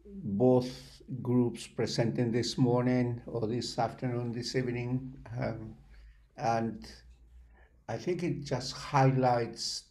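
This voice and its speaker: An older man giving halting, deliberate remarks on a video call, with many pauses. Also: mid-range voice, level tone, mellow. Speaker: network spokesperson. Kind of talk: webinar remarks. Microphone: video call, computer microphone.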